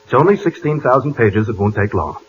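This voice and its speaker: A man's voice: medium pitch, lively intonation, fairly quick. A man doing 1940s radio comedy as announcer and sidekick, breezy and dry, heard on a muffled old broadcast.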